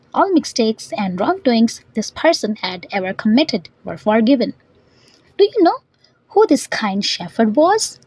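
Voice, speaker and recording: Female educational narrator, scripted read, raspy edge, animated pitch, dry studio-style sound. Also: no echo, low-quality recording. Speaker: narrator and vocabulary teacher.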